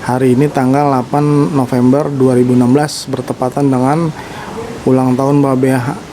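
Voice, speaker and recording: A man interviewing an elder at his home, speaking hesitantly and filling pauses with "eh". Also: medium pitch, flat delivery, mellow. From Indonesia. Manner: hesitant, with many fillers. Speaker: interviewer, publisher. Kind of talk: interview opening. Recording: noisy background.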